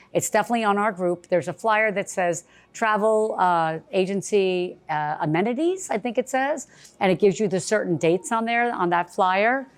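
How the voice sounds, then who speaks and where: A woman travel agent hosting a live event, chatty and bubbly, with a big cheerleader voice. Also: medium pitch. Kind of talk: casual event presentation. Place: bistro event space.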